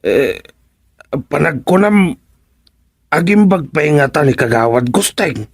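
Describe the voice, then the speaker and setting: A man's voice: fairly high pitch, very animated, slow, slightly rough, no room sound, clean studio sound, heavily acted. An actor with an Ilocano Filipino accent playing a shifty, bumbling village councilman who hems and stammers, in a radio comedy drama. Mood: probing, hesitant. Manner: hesitant, stammering, full of ums.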